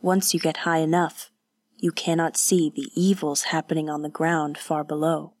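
Een vrouw die speelt een onhandige jonge krijger. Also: female voice, deep voice, level tone, moderate pace, no room sound. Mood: earnest. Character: young male warrior, awkward and earnest.

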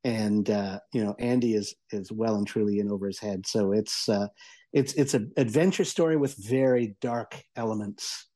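A man giving a conversational review as a radio columnist, explaining things eagerly in a halting way. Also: lowish voice, warm tone. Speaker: audio entertainment columnist and reviewer.

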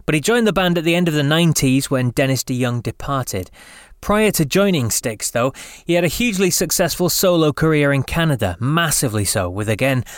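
A man's voice: a little high, expressive, a little fast, no room sound, clean studio sound. An English man with a slight Scottish lilt, hosting a rock podcast, chatty and upbeat, reading his intro then chatting with his guest. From England. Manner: chatty, upbeat, laughs easily. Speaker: podcast host. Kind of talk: scripted intro then casual interview.

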